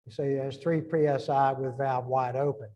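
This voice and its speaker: A man's voice: mid-range voice, fairly even intonation, normal speed, dark tone. A middle-aged man giving an informal engineering lecture, thinking aloud and restarting phrases as he works through numbers.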